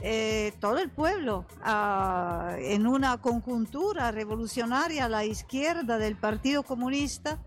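An older woman with an Italian accent gives a radio interview as a guest. Her voice sits fairly high and she speaks very slowly, searching for words in a language that is not her first. Music plays softly under her voice. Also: expressive. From Italy. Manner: halting non-native phrasing, searching for words. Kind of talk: political conversation in a radio interview.

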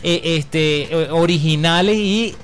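A man with a Venezuelan accent hosting casual live radio, talking informally and haltingly with repeated words. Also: fairly high pitch, a bit bright, a little hiss or hum. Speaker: radio host.

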